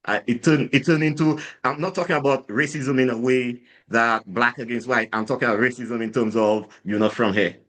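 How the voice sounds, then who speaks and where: A man's voice: mid-range voice, brisk, hardly any pauses. Saint Lucian man telling a rambling story. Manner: rambling, many fillers and restarts, animated when retelling. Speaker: guest, drinks brand founder. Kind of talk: casual storytelling interview. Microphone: podcast mic.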